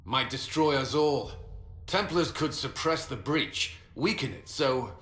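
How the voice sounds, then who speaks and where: An actor playing an earnest, disciplined knight commander in a fantasy video game, with a firm, plain-spoken delivery. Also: male voice, medium pitch, animated, a little slow, a bit bright, soft background music. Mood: insistent. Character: earnest, disciplined male military commander, former templar knight. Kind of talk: video game cutscene acting. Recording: roomy echo, noisy ambience.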